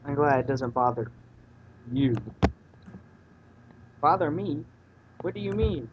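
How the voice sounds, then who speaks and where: A man's voice: on the high side, expressive, a little slow. Man reading fanfiction, dull lo-fi recording. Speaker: reader in a group fanfiction reading. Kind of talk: casual story read-aloud with character dialogue. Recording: dull, faintly noisy home recording.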